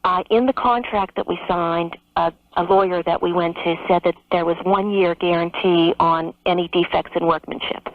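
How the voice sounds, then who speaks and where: A woman's voice: on the low side, steady tone, normal speed, hardly any pauses, no echo. A woman phoning in to a radio advice show, explaining her problem conversationally. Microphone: telephone. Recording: tinny narrow phone line on radio.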